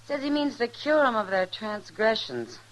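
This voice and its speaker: A woman's voice: fairly high pitch, sing-song, normal speed, rough recording. An actress playing a frank, worldly American saloon woman in an old radio western, familiar and sardonic. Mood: scornful. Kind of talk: 1950s radio western acting.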